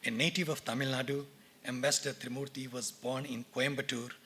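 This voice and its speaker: A man with an Indian English accent, giving a measured, formal read speech at a university convocation from a podium in a lecture theatre. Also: mid-range voice, little pitch movement, sharp tone, a little hiss or hum. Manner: measured, formal, reads names and titles deliberately. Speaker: department head speaking at a convocation.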